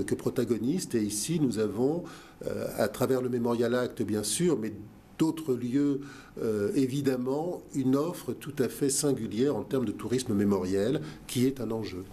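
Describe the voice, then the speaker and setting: A man's voice: mid-range voice, dark tone, a little hiss or hum. A man guest on a talk show, speaking earnestly with many fillers and restarts. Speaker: guest, museum director. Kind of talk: radio talk show interview.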